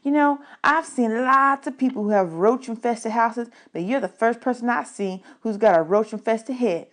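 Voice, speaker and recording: A woman's voice: fairly high pitch, normal speed, voice pushed up, lightly acted. A woman voicing a boastful, sneering orphanage boy bully with a drawling, taunting delivery, in a storybook reading. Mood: mocking.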